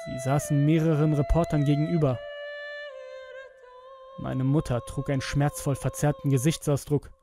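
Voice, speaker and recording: A man's voice: on the high side, level tone, moderate pace, pauses often. A man narrating a scripted horror story dramatically, as the narrator of an audio tale. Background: music with strings.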